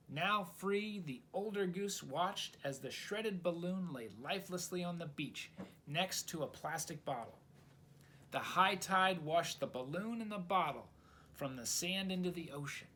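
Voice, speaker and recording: A man reading a children's story aloud in an expressive, dramatic storytelling style. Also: high voice, a little hiss or hum. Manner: dramatic, asks the listener questions.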